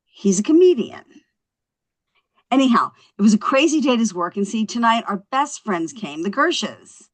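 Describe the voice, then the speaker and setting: A woman at a script table read playing a quick-witted young housewife, chatty, coaxing and wry. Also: on the high side, sing-song. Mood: polite, persistent.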